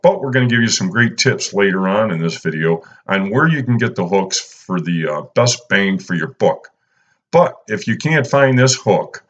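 Laid-back man with a low drawl. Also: highs cut off. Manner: laid-back, lots of "uh" and "you know". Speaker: fishing channel host. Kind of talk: casual instructional voice-over.

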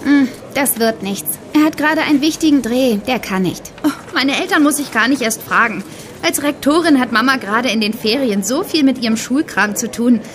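An actress playing an excitable, chatty teenage girl detective in a German children's audio drama, with a gushing delivery. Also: high voice, normal speed, a little hiss or hum, close to an everyday voice. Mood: urgent, chatty. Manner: gushing, exclamatory.

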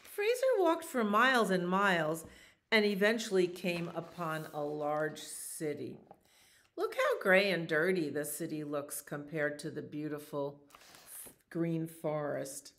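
An older woman in her sixties reads a children's picture book aloud. Her voice is low with a noticeable creak, and she takes her time, adding casual explanations as she goes. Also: big pitch swings, fairly bright tone. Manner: unhurried, explaining pictures to young listeners. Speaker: children's storytime reader. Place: small library children's room.

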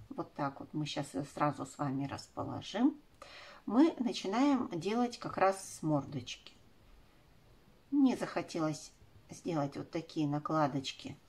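A woman's voice: sits low, darkish tone. A woman in her forties giving step-by-step craft instructions for children, speaking in affectionate diminutives. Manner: uses affectionate diminutive words. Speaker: craft tutorial presenter.

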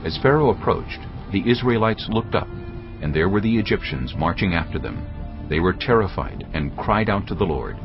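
A man narrating a dramatized Bible in a measured, grave way. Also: low voice, sing-song. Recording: dull sound under loud music.

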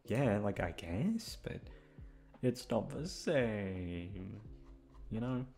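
A man's voice: fairly low pitch, lively intonation, very slow, gravelly, darkish tone, faint music behind. A young man chatting off the cuff to his listeners, rambling and hesitant, with casual swearing. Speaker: ASMR audio creator, voice actor.

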